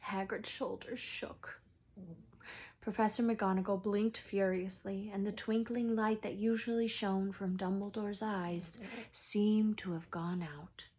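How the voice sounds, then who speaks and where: A woman teacher reading a storybook aloud to children, expressively, sometimes dropping into a hush for effect. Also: medium pitch, steady tone, slow pace, husky.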